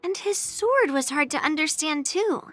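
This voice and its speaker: A woman voicing a spirited, confident young girl swordswoman in a video game, brash and expressive. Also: high voice, moderate pace, soft background music. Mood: mildly puzzled. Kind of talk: video game character voice lines.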